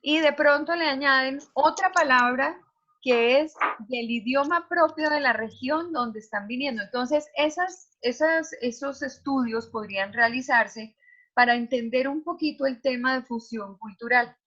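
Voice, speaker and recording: A woman's voice: high voice, unhurried, a bit bright. Woman teacher explaining patiently, lo-fi sound. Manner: explanatory, uses many examples and fillers. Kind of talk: lecture to students.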